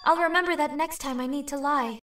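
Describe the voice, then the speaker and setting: A woman's voice: high-pitched, a little fast, fairly bright tone, far from the mic. A woman voicing an earnest, determined teenage girl student in an anime fan dub. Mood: resolved.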